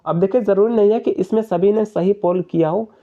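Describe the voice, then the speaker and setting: Young male explainer, high-pitched, persuasive and repetitive, on a dull narrow recording. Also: a little fast. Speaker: exam-prep YouTuber. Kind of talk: informal explainer addressing viewers.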